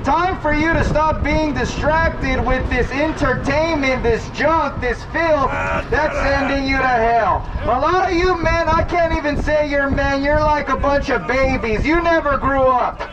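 A man preaching loudly outdoors to a street crowd, condemning and repetitive in manner. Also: very high-pitched, measured pace. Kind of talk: open-air preaching to passing crowds. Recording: distant, muffled outdoor recording with street noise.

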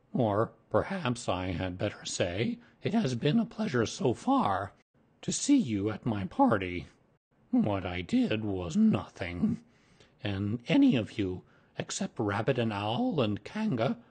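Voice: mid-range voice, darkish tone, breathy edge. A narrator voicing a gloomy, self-pitying old male donkey from a storybook, speechmaking in a ponderous, self-important way.

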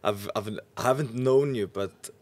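A man's voice: fairly low pitch, normal speed, a little mellow. A man in his thirties with a Norwegian accent, speaking English as a second language in a casual podcast interview. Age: 30s. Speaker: podcast host, professional goalkeeper. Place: indoor lounge booth. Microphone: podcast microphone on boom arm.

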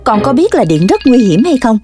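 A strict cartoon mother dog with a Southern Vietnamese accent. She sounds exasperated and emphatic, in a highish, very animated scolding voice. Music runs clearly underneath. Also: a little fast. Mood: stern warning. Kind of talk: children's cartoon voice acting.

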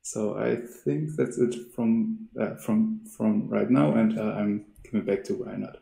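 A man's voice: on the low side, steady tone, unhurried, creaky, dark tone, reverberant, poor audio. A man giving a hesitant webinar introduction with many fillers and restarts. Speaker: company representative. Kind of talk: webinar presentation.

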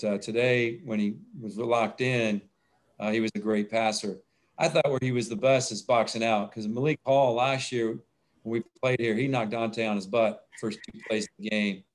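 A middle-aged man, a basketball coach, talking plainly over a video call at a postgame press conference, often restarting his phrases. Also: on the low side. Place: indoors in front of a logo backdrop. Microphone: video call webcam.

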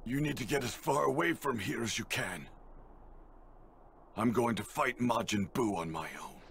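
A man playing a proud, stern warrior father in an anime video game, speaking gravely and deliberately. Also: medium pitch, fairly bright tone, a little hiss or hum. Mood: grave, tender.

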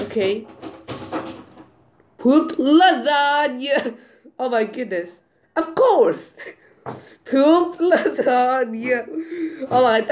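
A woman reading group chat messages aloud over a screen recording, in a slow, halting way with muttered asides, on a phone mic. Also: high-pitched, noisy background. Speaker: channel owner.

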